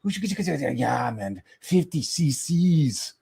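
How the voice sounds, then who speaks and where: A man voicing an excitable young German guy who gushes in drawn-out, slangy mock foreign speech. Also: fairly high pitch, gravelly, husky, voice pushed up, heavily acted. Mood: gushing, excited.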